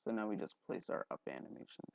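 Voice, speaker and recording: A man hosting a casual, unscripted screen tutorial, haltingly repeating words and muttering to himself, recorded on a headphone mic at his neck. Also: darkish tone, no echo. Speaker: tutorial host. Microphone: headphone mic held at the neck.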